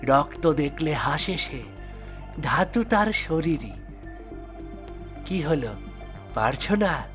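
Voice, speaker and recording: A man voicing a mysterious dwarf guardian in a fairy-tale cartoon, measured and deliberate. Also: on the high side, lively intonation, music in the background. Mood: testing. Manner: measured, deliberate riddle-posing. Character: mysterious male dwarf guarding a magic sword, testing riddler.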